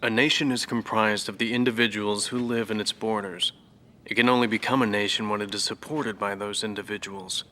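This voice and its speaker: An actor playing a middle-aged former fighter ace, now a professor, who speaks in a measured, brooding, reflective way in a game cutscene. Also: male voice, lowish voice, steady tone, bright, forceful tone. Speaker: former fighter pilot interviewed.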